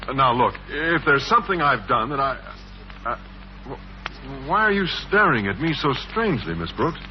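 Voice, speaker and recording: Man playing a bashful puzzled teacher, lively pitch. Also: normal speed, natural voice. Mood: bewildered. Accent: American. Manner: hesitant, literal, puzzled. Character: shy, earnest, baffled man biology teacher. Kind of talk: 1950s radio sitcom acting. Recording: dull, noisy 1950s radio recording.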